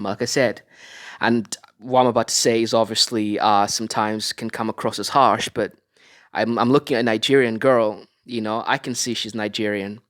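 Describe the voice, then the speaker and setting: A man's voice: mid-range voice, fairly bright tone. A man from Africa giving reaction commentary on a video, lecturing his viewers with frequent restarts and fillers.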